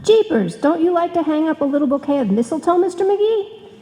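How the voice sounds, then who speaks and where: A woman's voice: very high-pitched, animated, a little fast, warm tone. An actress playing a sweet, naive, scatterbrained young woman in an old-time radio comedy. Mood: dazed, eager. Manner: naive, guileless. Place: live stage performance in a hall. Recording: live recording in reverberant hall.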